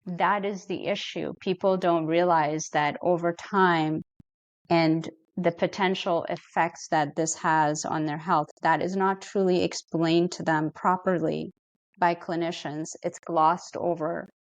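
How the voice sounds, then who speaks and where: A middle-aged woman from Ethiopia, a therapist speaking as a podcast guest in an earnest, reflective and measured way. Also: fairly low pitch, steady tone, dry recording. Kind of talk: podcast interview.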